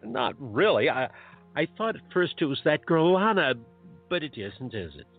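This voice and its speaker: A man playing a caring, gently teasing old friend in a radio play, knowing and sympathetic. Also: a little high, sing-song, moderate pace, faint music behind. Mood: teasing, grateful. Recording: tinny phone-like audio.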